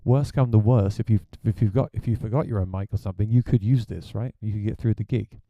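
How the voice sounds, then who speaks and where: A man with a deep voice, a gigging musician, chatting plainly through a gear review. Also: fast, no room sound, narrow, tinny audio.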